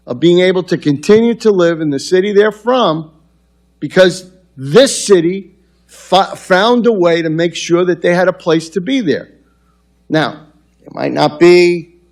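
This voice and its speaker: A middle-aged man with a New York accent speaks from a podium in a large council chamber. His voice sits a little high for a man, and he talks in a loose, conversational way, stumbling through fillers and restarts as he gestures. The sound comes from a podium microphone. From New York City. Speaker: mayor presenting at a public forum. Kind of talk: public forum address. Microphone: podium microphone, far camera.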